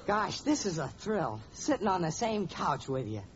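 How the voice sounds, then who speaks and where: An actor playing a hapless, melodramatic young student suitor who gushes in overwrought fashion, in a 1940s radio sitcom. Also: male voice, sits high, big pitch swings, moderate pace. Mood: lovestruck. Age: young adult. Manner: gushing, overwrought. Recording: muffled, noisy old radio recording.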